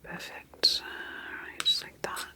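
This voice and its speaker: A woman acting as a sinister female scientist at a sleep clinic, whispering very slowly in a breathy ASMR style. She is calm and detached, narrating her procedures like clinical notes in a sci-fi horror roleplay. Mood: clinical, quietly sinister. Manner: slow, clinical, detached, measured.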